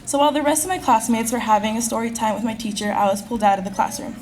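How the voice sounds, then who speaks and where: A teenage girl, a high school senior, earnestly delivering a prepared personal speech at a school event. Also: a little mellow, slight background noise. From California. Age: teens. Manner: earnest, reading from a script at a quick clip.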